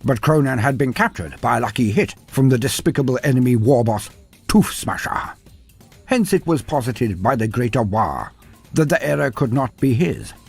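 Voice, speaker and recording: A man's voice: medium pitch, animated, normal speed, vocal fry, darkish tone, breathy edge, soft background music. A man delivering dramatic scripted fantasy narration in a grandiose, wry manner with ornate old-fashioned phrasing. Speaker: storyteller, narrator of fantasy war lore.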